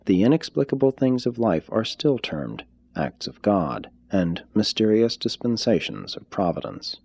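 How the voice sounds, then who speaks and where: Male reader, scripted formal narration, deliberate phrasing, animated pitch movement, dry close sound. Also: breathy edge, no room sound. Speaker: audiobook reader.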